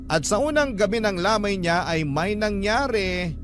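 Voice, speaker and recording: A sorrowful young Filipino man telling his story for a radio drama. The male voice is slightly high, polite and measured, with music clearly playing behind it. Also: expressive. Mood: somber. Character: sorrowful young man recounting his uncle's death, respectful. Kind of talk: first-person dramatized narration for radio. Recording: music bed under voice.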